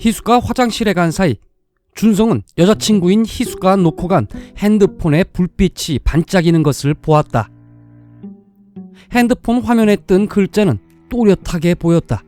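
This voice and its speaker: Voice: mid-range voice, animated, normal speed, a little mellow. A storyteller reading a dramatic science-fiction tale in a scripted narrating style. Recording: music under the voice. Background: background music.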